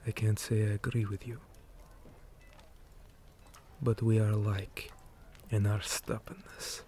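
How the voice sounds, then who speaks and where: A weary, principled orc commander, acted out by a man for an ASMR roleplay. He speaks very slowly in a low, dark voice, grave and measured, often sinking into a breathy whisper. There is faint noise behind him. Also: little pitch movement. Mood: calm, resolute.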